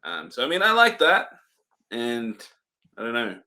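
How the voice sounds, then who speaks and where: A man's voice: medium pitch, huge pitch swings, unhurried, pushed and bright. A man co-hosting a podcast, chatting in a laid-back way and chuckling often. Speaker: podcast co-host. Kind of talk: casual chat.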